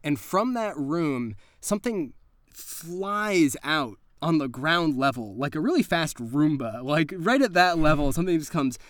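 Lively man narrating a horror game, dry sound. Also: on the high side, a little slow, no echo. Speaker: game master.

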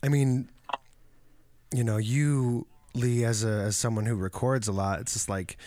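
A man hosting a casual interview, chatting in a relaxed, meandering way. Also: mid-range voice, measured pace, dry recording. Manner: relaxed, chatty, drifting with fillers. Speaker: podcast host.

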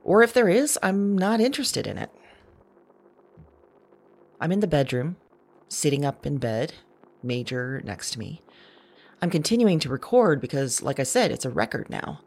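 A woman playing a wry, unsettled woman who records her night thoughts in an intimate audio drama monologue, slow and deliberate. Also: low voice, big pitch swings, darkish tone, breathy edge, heavily acted. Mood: defiant, unsettled, then musing. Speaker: audio drama writer and performer. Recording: music bed behind voice.

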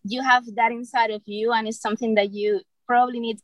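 A woman's voice: fairly high pitch, little pitch movement, a little fast, low-quality recording. A woman from Cuba with a Cuban accent, a native Spanish speaker, reflecting in a group discussion and searching for words in non-native English. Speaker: discussion participant.

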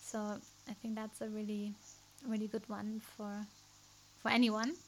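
A woman's voice: medium pitch, flat delivery, measured pace, a little hiss or hum. A woman with a German accent, a podcast host chatting casually and searching for words in English. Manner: thoughtful, hesitant, many fillers. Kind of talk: casual interview chat.

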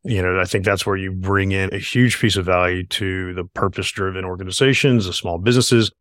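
An enthusiastic man hosts his podcast, rambling through his points and often checking in with "right?". His voice sits low and sounds dry and close, with no room around it. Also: fairly even intonation, fairly quick, fairly bright tone, dry recording. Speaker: podcast host. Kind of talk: casual podcast interview.

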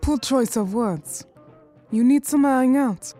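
A woman's voice: high-pitched, measured pace, mellow, soft background music. An imperious crime queen. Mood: cool menace. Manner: commanding, coolly threatening, haughty. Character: imperious, menacing Harlem numbers queen. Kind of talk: 1920s period audio drama acting.

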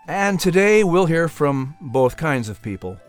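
A man narrating a children's audiobook in an expressive, scripted storytelling style. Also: on the high side, unhurried, soft background music.

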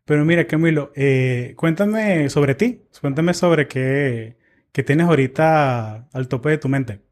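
Venezuelan podcast host, easygoing. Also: male voice, on the high side, slow pace, darkish tone. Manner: friendly, laughs easily. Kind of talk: conversational interview.

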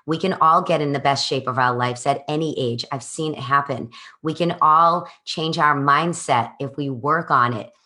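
Woman in her forties, fitness coach, very low voice, emphatic, encouraging. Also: moderate pace, sharp tone. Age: 40s. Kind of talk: motivational interview answers.

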